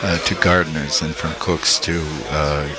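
An older man from Italy, a small press publisher, being interviewed and speaking haltingly with many fillers and restarts. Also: extremely low pitch, steady tone. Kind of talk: interview conversation.

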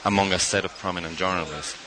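A middle-aged man from Argentina, a guest artist in a radio interview, speaking thoughtfully with frequent pauses and fillers. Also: low voice, fairly even intonation, crowd noise. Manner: thoughtful, hesitant with many fillers.

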